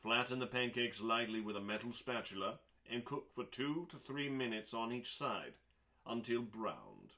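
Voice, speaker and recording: A man reading recipes aloud as a host, in a dramatic audiobook style with deliberate pauses and emphasis. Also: medium pitch, animated, sharp tone.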